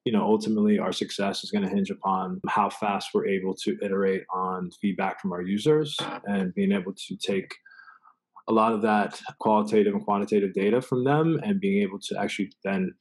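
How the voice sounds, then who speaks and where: A man's voice: on the low side, fairly even intonation, fairly quick, rough recording. A man explaining his work as an interview guest in a casual, rambling way, full of verbal tags. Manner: rambling, peppered with "you know" and "right". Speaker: product designer, interview guest.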